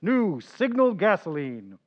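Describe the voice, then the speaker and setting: A man reading an old-time radio gasoline commercial with a brisk, upbeat salesman delivery. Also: sits high, very animated, full character voice. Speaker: announcer. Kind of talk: vintage radio commercial read. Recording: dull lo-fi old recording.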